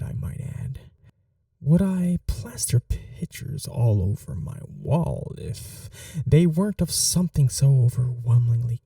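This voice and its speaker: A man playing an obsessive, doting kidnapper in an intimate ASMR roleplay, speaking softly and breathily with a halting, coaxing tenderness. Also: medium pitch, no room sound, clean studio sound, recorded from a distance, exaggerated voice. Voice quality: soft, breathy, dark. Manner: gentle, halting, coaxing reassurance. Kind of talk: intimate ASMR roleplay, often near whispering.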